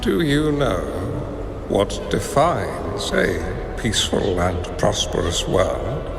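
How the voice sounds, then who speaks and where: Slow, gravelly old hermit master. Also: male voice, fairly low pitch, big pitch swings, heavily acted. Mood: grave, advising. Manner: measured, philosophical, formal. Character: calm, wise old male hermit master, gently stern. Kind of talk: video game voice acting. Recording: reverberant hall echo, music behind. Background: music.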